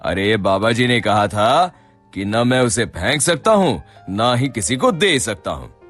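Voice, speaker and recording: A man's voice: mid-range voice, sing-song, unhurried, fairly bright tone, soft background music. A man voicing a shrewd, kindly middle-aged merchant in a cartoon, theatrical and sly. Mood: commanding. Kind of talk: cartoon voice acting.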